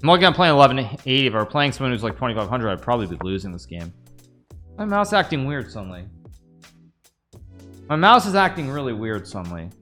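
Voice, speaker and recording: Lively American man streaming chess. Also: mid-range voice. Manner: thinks aloud while playing, answers chat questions. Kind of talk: casual live-stream commentary.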